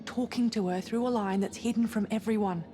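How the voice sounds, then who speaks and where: A woman's voice: fairly high pitch, steady tone, fairly quick, dark tone, lightly acted. A woman playing a rebellious, cocky, suspicious science officer in a sci-fi game, with an insistent, cheeky delivery. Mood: urgent, suspicious. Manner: insistent, cheeky, foul-mouthed. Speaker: science officer on a spaceship crew. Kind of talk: naturalistic dramatic game cutscene acting.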